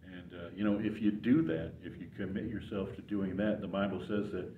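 An older man giving an informal devotional talk to viewers in a folksy, halting, earnest way. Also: low-pitched, steady tone, slight background noise. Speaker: gospel singer and channel host. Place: church sanctuary, indoors. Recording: roomy, distant, dull sound.

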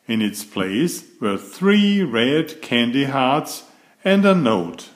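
A man reads a children's picture book aloud at an unhurried pace. His voice sits in a middle pitch but swings widely, and he lifts it for the girl's lines. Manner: slow, lively storybook reading, raising his pitch for the girl's lines.

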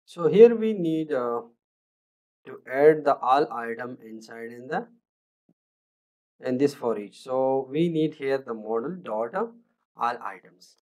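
A man, talking through a screen-recorded coding tutorial in a halting way, with many fillers and restarts as he thinks aloud. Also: medium pitch, lively intonation, darkish tone. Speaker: programming tutor.

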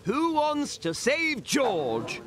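A man's voice: very high-pitched, wildly swooping pitch, extremely slow, over a noisy crowd, exaggerated voice. An actor playing an earnest, exasperated cartoon gorilla, a loyal friend who pleads his case. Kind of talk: cartoon voice acting. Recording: loud cartoon music underneath.

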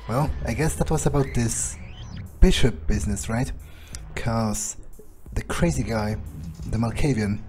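A man's voice: mid-range voice, a little mellow. A man playing a game in character, musing and muttering his thoughts aloud. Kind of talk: in-character gameplay commentary, thinking aloud. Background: faint game music.

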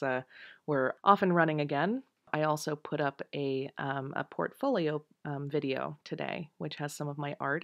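A slightly sick, croaky-voiced woman hosting a let's play, thinking aloud in casual gaming commentary. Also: low voice. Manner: musing thinking aloud, many hmms. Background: game music.

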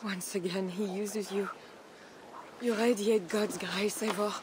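An actress playing a devout, composed noblewoman who pleads calmly and earnestly. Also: medium pitch, takes it slowly, a little hiss or hum, natural voice. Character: devout, composed noblewoman, young adult, gentle. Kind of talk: video game cutscene acting.

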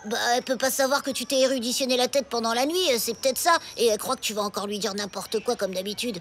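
A woman's voice: on the high side, steady tone, quick pace, a bit bright, slight background noise, close to an everyday voice. A woman voicing a loyal, doubtful schoolboy best friend in a French children's cartoon, with a slangy, skeptical way of talking. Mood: encouraging. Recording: music behind the voice.

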